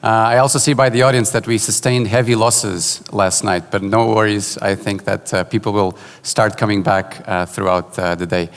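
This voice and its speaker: Portuguese guy doing a keynote, low voice, lots of uhs, big hall echo. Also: normal speed, hardly any pauses, clean tone. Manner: relaxed, joking, many filler "uh"s and restarts. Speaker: keynote speaker. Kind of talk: conference keynote talk. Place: large conference hall. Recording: hall reverb.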